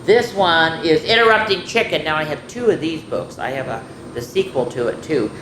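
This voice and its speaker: A woman's voice: sits low, expressive, moderate pace. A woman hosting a children's story time, reading picture books aloud in a playful, chatty way with rambling asides to her viewers. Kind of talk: informal picture-book read-aloud and show-and-tell to children. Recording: echoey room with clear background noise.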